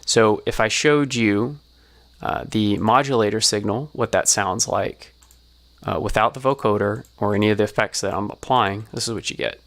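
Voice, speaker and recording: A man's voice: lowish voice, moderate pace, a bit bright. A man giving an explanatory tutorial as a sound designer, talking through it step by step.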